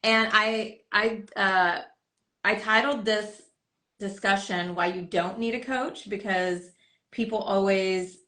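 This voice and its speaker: A woman's voice: medium pitch, steady tone, takes it slowly, pushed and bright. A woman coach hosting a casual live stream chat on a desktop podcast microphone, talking in a rambling way with many fillers. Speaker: host and coach.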